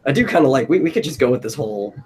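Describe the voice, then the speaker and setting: A man's voice: medium pitch, expressive, racing, a little mellow, breathy edge. A man hosting a casual brainstorming chat, thinking aloud in a loose way. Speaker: host of a brainstorming stream. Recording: noticeable background noise.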